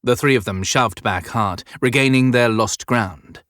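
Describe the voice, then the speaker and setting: A man's voice: medium pitch, unhurried, a bit bright, no echo, studio quality. A man reading dramatic scripted narration as an audiobook narrator. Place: dry recording studio.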